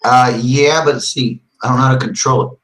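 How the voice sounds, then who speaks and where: A man with a hoarse, scratchy voice, slightly rough from a cold, talks in an easygoing, patient way. He leads a relaxed conversation over a call at a mid pitch. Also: moderate pace. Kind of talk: casual chat over an online call.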